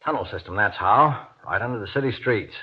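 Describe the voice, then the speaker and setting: An American actor playing an irritable, domineering husband with a gruff, scolding manner in an old-time radio horror drama. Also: male voice, lowish voice, animated, fairly quick. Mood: gruff, matter-of-fact. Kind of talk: golden age radio horror acting. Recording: dull, muffled old radio recording.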